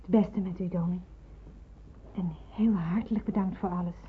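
An actress playing a young refugee woman in hiding in a radio drama, soft, hesitant and earnest. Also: fairly low pitch, fairly even intonation, normal speed, slight background noise, natural voice. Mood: grateful, subdued. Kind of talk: Dutch radio drama acting. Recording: muffled, phone-like old radio recording.

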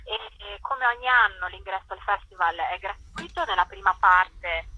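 A woman speaking as a festival director in a conversational interview, explaining things with halting, hesitant pauses. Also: medium pitch, big pitch swings, sharp tone, dull audio, a little hiss or hum.

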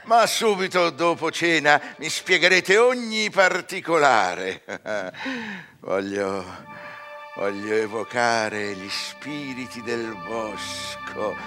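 An obsessed scholar hungry for magic in a classical radio drama. His bright, forceful delivery swings widely in pitch, lingering theatrically on words at a very slow pace, with music underneath. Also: expressive. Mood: fervent, determined. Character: eager, obsessed male scholar craving magical power.

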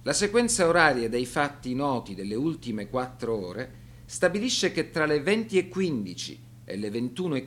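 Casting: male narrator for a radio documentary drama, medium pitch, measured, formal and serious delivery.

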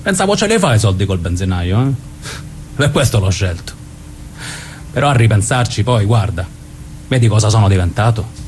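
A man's voice: lowish voice, animated, normal speed, long pauses, darkish tone, slight background noise, natural voice. A man playing a protective, domineering older brother in a radio drama, with a southern Italian accent and colloquial, patronizing speech. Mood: teasing, affectionate. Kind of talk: naturalistic radio drama dialogue.

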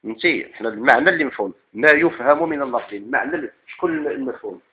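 Moroccan male teacher, classroom lecture, quick patter of questions and answers, dull lo-fi recording. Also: mid-range voice, animated, slight background noise. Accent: Moroccan Arabic. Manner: quizzes students with repeated questions and answers. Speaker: teacher of Islamic legal theory.

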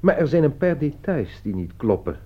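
Shrewd writer turned sleuth in a dutch radio play, lively intonation, composed and probing, dull old recording. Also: male voice, medium pitch. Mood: confident, explaining. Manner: deliberate, theatrical reasoning with pointed questions. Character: shrewd male author playing detective, composed, probing.

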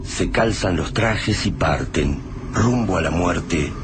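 An actor narrating a radio drama as a grave, literary storyteller with an Argentine accent. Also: male voice, low voice, lively intonation, takes it slowly. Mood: grave, ominous. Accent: Argentine Spanish. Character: grave storyteller of a doomed survival tale. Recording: noisy background ambience.

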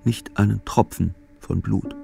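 A man playing an unhurried narrator in a literary radio play, with a gravelly voice and deliberate telling. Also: on the low side, steady tone, warm tone, faint music behind. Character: unhurried male narrator following an old man's thoughts.

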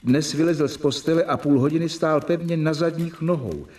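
An actor in a radio play, playing a young doctor who narrates his medical journal in measured, reporting tones. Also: fairly high pitch, a little mellow, far from the mic, natural voice. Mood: earnest. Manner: measured, reporting dates and symptoms.

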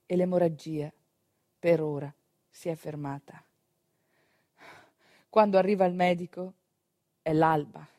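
A woman performing a theatrical monologue as a storyteller of rural midwifery, with a dramatic, emphatic delivery full of deliberate pauses. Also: on the low side, fairly even intonation, warm tone, no room sound, studio quality. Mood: grave, hushed. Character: female storyteller recalling a rural midwife's work. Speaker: stage actress narrating a monologue.

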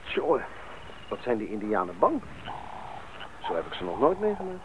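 A man playing a steady, reassuring member of a jungle expedition in a radio drama, with an animated delivery. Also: fairly low pitch, moderate pace, poor audio. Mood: concerned. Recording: thin old radio, faint hiss.

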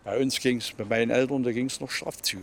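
A man's voice: mid-range voice, fairly even intonation, brisk, creaky. An older man talking spontaneously and emphatically as an interviewee, often repeating his phrases for weight. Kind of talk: spontaneous opinionated talk.

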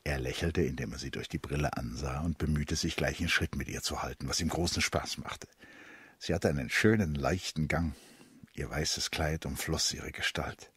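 A man narrating a literary radio drama in a measured, contemplative manner. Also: extremely low pitch, a bit bright, breathy edge, dry recording. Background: plucked string music.

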